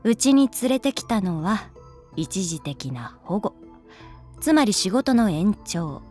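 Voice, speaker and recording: A coolly pragmatic female teacher in an audio drama. Her mid-pitched voice moves in lively swings, brisk and firm with a teasing, blunt edge. Music plays faintly behind her. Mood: cool, stern. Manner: shifts between polite firmness and casual bluntness. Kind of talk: Japanese audio drama acting.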